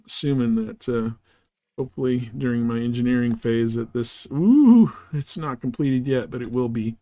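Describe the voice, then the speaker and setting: A man's voice: mid-range voice, normal speed, dark tone. A man chatting casually and informally on a live game stream, rambling through his explanations.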